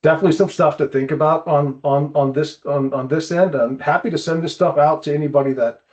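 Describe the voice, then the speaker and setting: A man's voice: on the high side, fairly even intonation, brisk, a little mellow. A man hosting a casual explanatory talk, conversational and often checking in with rhetorical questions. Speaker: host presenting tips. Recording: dull, band-limited audio.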